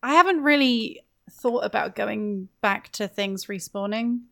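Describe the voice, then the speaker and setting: A woman's voice: medium pitch, measured pace, gravelly, sharp tone. A woman chatting casually about a video game as a podcast guest.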